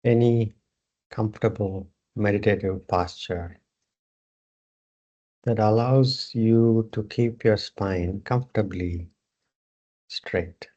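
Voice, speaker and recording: Slow, deep-voiced man guiding meditation. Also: warm tone, lo-fi sound. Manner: unhurried, with long pauses between short phrases. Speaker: yoga nidra meditation teacher. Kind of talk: guided meditation instruction.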